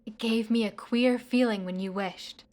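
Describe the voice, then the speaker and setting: Woman acting a high-voiced cheeky young daughter. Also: fairly even intonation, moderate pace, a little mellow, close to an everyday voice. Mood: teasing, mock spooky. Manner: playful, mock-dramatic. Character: teasing, cheeky young adult daughter living at home. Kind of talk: amateur radio play acting.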